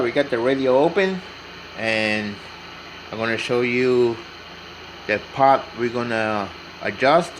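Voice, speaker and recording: A man's voice: mid-range voice, slight background noise, poor audio. A man narrating an informal hands-on tutorial in a plain, instructive way, repeating his instructions as he goes. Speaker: radio repair hobbyist demonstrating.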